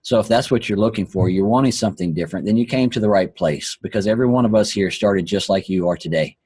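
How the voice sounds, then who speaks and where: A hoarse man who is losing his voice hosts an earnest, preachy motivational talk and often repeats his phrases. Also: fairly low pitch, fast talker, darkish tone, slight background noise. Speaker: host. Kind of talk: motivational talk on a live online call.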